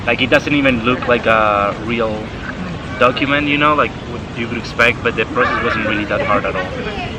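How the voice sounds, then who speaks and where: A man's voice: mid-range voice, normal speed. A young man from Spain, an exchange student chatting casually and filling his talk with "like". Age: young adult. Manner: chatty, many "like" fillers. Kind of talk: casual chat. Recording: outdoor traffic and boat noise.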